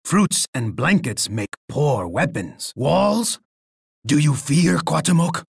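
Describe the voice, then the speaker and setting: An actor playing a boastful, menacing Aztec emperor in a video game, with a gravelly, raspy voice and a pompous way of speaking. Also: male voice, mid-range voice, no echo, studio quality, full character voice. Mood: haughty, then indignant. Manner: pompous, speaks of himself in third person. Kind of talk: theatrical video game voice lines.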